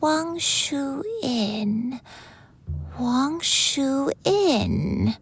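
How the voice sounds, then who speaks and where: A woman playing a gentle, forgetful old granny in a video game, wistful and hesitant, with music behind her. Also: sits high, expressive, extremely slow, slight background noise, exaggerated voice.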